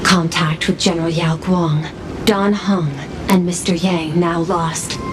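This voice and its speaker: A woman's voice: lowish voice, fairly even intonation, unhurried, close to an everyday voice. A woman voicing a coolly logical android analyst in a video game, reporting in a clinical, formal way with clipped labels before statements. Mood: clinical, detached. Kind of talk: scripted game dialogue. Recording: game audio under loud music.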